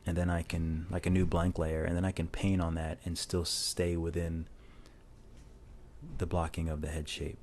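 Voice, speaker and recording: Low-voiced man rambling over a drawing. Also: normal speed, slight background noise. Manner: rambling, relaxed, many restarts. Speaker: illustrator narrating a drawing tutorial. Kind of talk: casual voice-over while drawing. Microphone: lavalier mic plugged into a phone.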